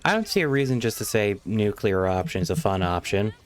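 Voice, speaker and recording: A man's voice: lowish voice, lively intonation, a little fast. A man giving casual, offhand live game commentary, swearing mildly as he plays. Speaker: game streamer.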